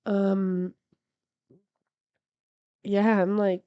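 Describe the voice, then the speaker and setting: A woman's voice: medium pitch, little pitch movement, extremely slow, clean tone, no room sound, lo-fi sound. A woman guesting on a podcast, improvising comedy scenes with brash, crude jokes. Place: house.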